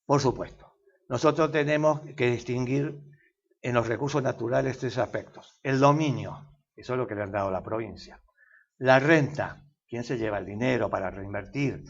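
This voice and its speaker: A man's voice: mid-range voice, moderate pace, highs cut off. An older man with an Argentine accent, explaining as an expert guest in an interview, lecturing at length with frequent fillers and restarts. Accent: Argentine Spanish.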